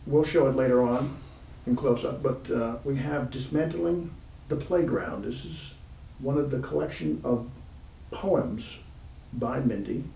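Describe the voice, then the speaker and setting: Male interview host, mellow and gravelly, halting informal talk, distant mic with some room noise. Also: mid-range voice, reverberant. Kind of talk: casual, unscripted interview.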